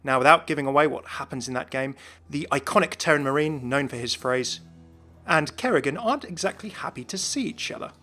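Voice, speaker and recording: A man's voice: a little high, lively intonation, fairly quick, sharp tone. A man giving explanatory narration about video game lore. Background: background music.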